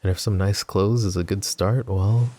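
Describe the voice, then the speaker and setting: A man voicing a wry, tender mafia boss in an ASMR roleplay, soft-spoken and teasing. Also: lowish voice, fairly even intonation, normal speed, lightly acted. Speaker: voice actor.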